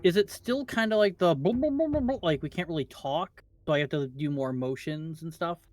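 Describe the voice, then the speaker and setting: Guy player, kinda high voice, lots of ums, light music behind. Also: a little fast, darkish tone, dry recording. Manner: hesitant, with fillers and laughs. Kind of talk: casual tabletop chat.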